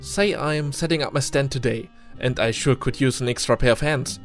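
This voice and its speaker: A man's voice: medium pitch, moderate pace, lightly acted. A man voicing a friendly young travelling candy seller in a storybook, with folksy, slightly unsure salesman patter. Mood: persuasive. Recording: music behind the voice.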